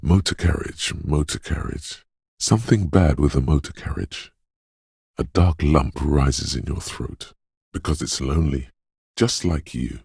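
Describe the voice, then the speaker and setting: Very deep, raspy, brooding inner voice. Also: male voice, a little slow, a little mellow, no echo, clean studio sound, heavily acted. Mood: eerie, melancholy. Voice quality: deep, gravelly, husky. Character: inner voice of intuition, brooding, dreamy, ominous and mysterious. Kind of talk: scripted second-person video game narration.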